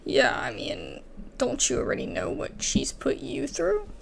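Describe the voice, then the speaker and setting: Lively high-voiced woman with creaky edges. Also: a little slow, a little hiss or hum. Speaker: gaming streamer commenting on gameplay. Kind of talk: casual gameplay commentary.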